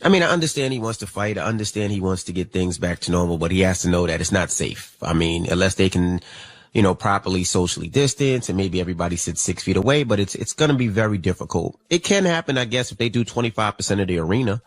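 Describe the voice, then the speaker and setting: A man co-hosting a morning radio show with a casual, slangy delivery. His fairly low voice moves quickly and swings widely in pitch. He sounds energetic and loose. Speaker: radio co-host.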